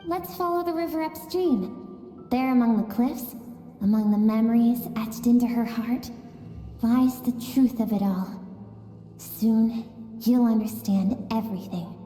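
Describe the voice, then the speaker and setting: An actress voicing a gentle, cryptic female spirit guide in a video game, speaking softly in an unhurried, musing way. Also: on the high side. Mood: solemn, inviting. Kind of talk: video game story voice acting. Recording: reverberant game audio with music.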